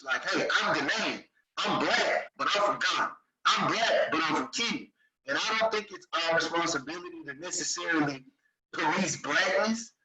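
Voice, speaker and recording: Emphatic man, echoey and distant. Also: fairly high pitch. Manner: emphatic, assertive, repeats for stress. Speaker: discussion participant. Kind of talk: passionate opinion in group discussion.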